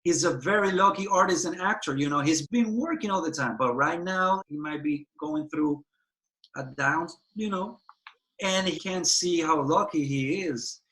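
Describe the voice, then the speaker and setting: A man with a Puerto Rican Spanish accent talks with passion in an interview and circles back on his own phrases for emphasis. His voice sits high for a man and sounds bright and forward. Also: normal speed, rough recording. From Puerto Rico. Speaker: guest actor. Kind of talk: earnest interview conversation.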